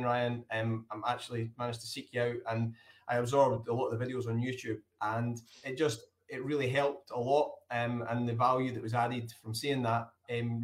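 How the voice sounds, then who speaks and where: Scottish male guest, mid-range voice, hesitant and friendly, plenty of fillers. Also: mid-range voice. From Scotland. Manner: hesitant, many ums and restarts. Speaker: hotel owner, guest. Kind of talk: casual interview answers.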